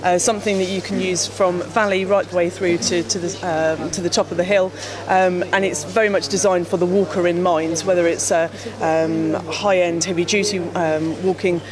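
A woman in her thirties with a lowish voice explains gear at a trade show stand, speaking into a handheld mic. She talks with frequent ums and restarts. A steady crowd murmur fills the hall behind her. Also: moderate pace, hardly any pauses. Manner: explanatory, many fillers and restarts. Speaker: company representative. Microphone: handheld mic held by interviewer.

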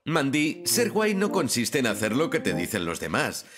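An actor playing a gentle, apologetic, affectionate dad in a children's cartoon dub. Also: male voice, mid-range voice, huge pitch swings, moderate pace. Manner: affectionate, mild. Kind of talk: dubbed children's cartoon acting.

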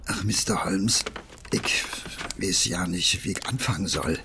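An actor playing a humble, nervous working-class messenger with a Berlin accent, rambling and hesitant in colloquial speech, in an audio drama. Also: male voice, low voice, a little slow, background noise. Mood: hesitant, embarrassed. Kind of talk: German audio drama acting.

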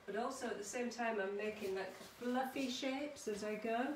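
A woman's voice: fairly high pitch, moderate pace. A middle-aged woman giving a casual art demonstration for children, chatty and encouraging, often checking in with her listeners. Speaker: artist leading a children's art workshop. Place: small conservatory-style home studio. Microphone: camera or phone a short distance away. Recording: roomy, distant mic, some background noise.

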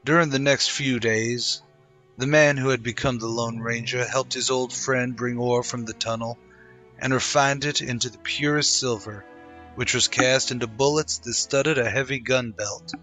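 A man delivers dramatic scripted narration in the manner of old-time radio. His voice sits at a medium pitch and has a bright, forceful edge. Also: steady tone, normal speed, faint music behind.

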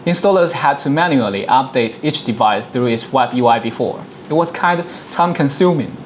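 A man in his thirties presenting a software demonstration, explaining things step by step in a dialogue. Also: medium pitch, expressive, brisk, a little hiss or hum. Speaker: product presenter.

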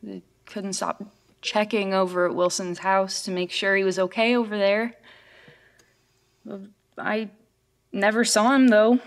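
A woman's voice: medium pitch, steady tone, unhurried, pauses often, natural voice. A woman playing a polite, uneasy young woman in a scripted horror audio drama, in both dialogue and narration. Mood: uneasy recounting.

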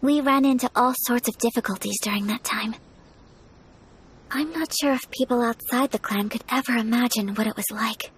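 A young woman voicing a gentle, composed and earnest noblewoman with a soft, polite, measured manner in a video game. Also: fairly high pitch, husky.

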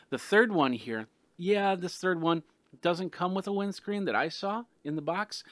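A middle-aged man hosting a casual product review, explaining things in a halting way with restarted phrases, close on a desktop gooseneck microphone. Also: medium pitch, a bit bright, no echo. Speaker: tech reviewer, host. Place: small studio room with some sound treatment. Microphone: desktop gooseneck condenser microphone, close.

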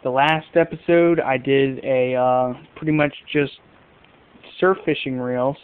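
Male hobby vlogger, casual unscripted comparisons, smooth clear voice, tinny narrow-band camera audio. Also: medium pitch. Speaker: fishing video host. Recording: muffled phone-like amateur camera audio.

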